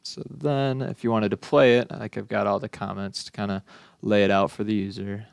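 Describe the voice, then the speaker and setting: A young adult man giving a casual software demonstration as a student, informal, offhand and a little tentative. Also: lowish voice, flat delivery, moderate pace, creaky, smooth, dry recording, clean studio sound. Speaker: student presenting a final project.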